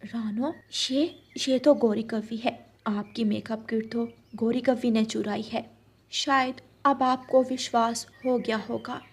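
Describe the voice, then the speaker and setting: A sensible female bird from a children's cartoon, played by a woman. Her voice is high but calm, and she speaks in a helpful, reassuring way. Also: measured pace, slightly rough. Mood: earnest.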